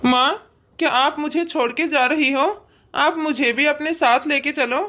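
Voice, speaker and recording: A woman's voice: high-pitched, steady tone, measured pace, pushed and bright, pitched below the performer's own voice. A woman voicing a remorseful, ailing son and husband in a cartoon moral story, pleading plaintively and earnestly. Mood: hurt, pleading.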